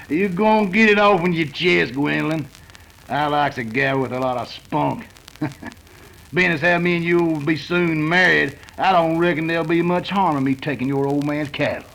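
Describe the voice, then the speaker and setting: Man playing a gravelly sneering western outlaw. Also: fairly high pitch, steady tone, a little slow, a bit bright, low-quality recording. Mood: sneering, taunting. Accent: rustic American West. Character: menacing, sneering western cattle-rustler outlaw. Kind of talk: 1930s western radio acting.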